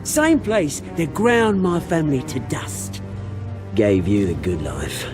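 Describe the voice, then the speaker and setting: Volatile, swooping British scrapper. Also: male voice, on the high side, wildly swooping pitch, slow, music in the background, heavily acted. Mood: bitter, wistful. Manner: theatrical, cocky, slangy. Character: bitter, scrappy fallen rich man, childhood friend turned volatile. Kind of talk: video game voice acting.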